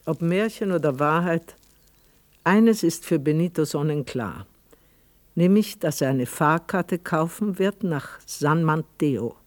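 A man narrating a literary radio play as a measured storyteller. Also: a little high, animated, no echo. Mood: measured, reflective.

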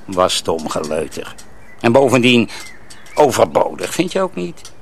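An actor playing a domineering, volatile master of apprentices in a radio drama, barking or smoothly coaxing. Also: male voice, lowish voice, sing-song, unhurried, full character voice. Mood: coaxing, conciliatory. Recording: radio play, faint background noise.

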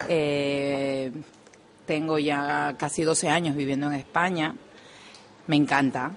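Calm Peruvian woman radio guest. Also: deep voice, slow, slight background noise. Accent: Peruvian Spanish. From Peru. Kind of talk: radio interview. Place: local radio studio.

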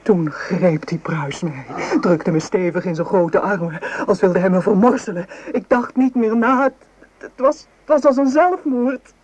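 Anguished, low-voiced young maidservant. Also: female voice, a little mellow. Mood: anguished, desperate. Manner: breathy, halting, pleading delivery. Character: anguished young maidservant, lovesick, guilt-ridden unwed mother. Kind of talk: melodramatic Dutch radio play acting. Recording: noisy old radio drama recording.

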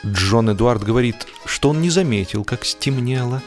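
A man reading a humorous literary classic as an audiobook narrator, expressively and wryly, with drawn-out pauses and emphatic phrasing. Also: mid-range voice, lively intonation, faint music behind.

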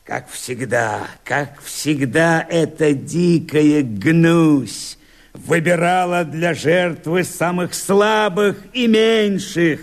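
A weary Cossack mutineer speaks in theatrical radio-play verse. His voice sits fairly high for a man. He declaims slowly and emphatically, defiant toward his leader. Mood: scornful.

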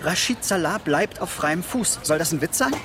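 An actor playing an aggrieved amateur investigator in a radio drama, talking in an insistent, resentful way. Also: male voice, fairly high pitch, animated, moderate pace, noisy background, lightly acted. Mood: bitter, frustrated. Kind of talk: naturalistic radio drama acting.

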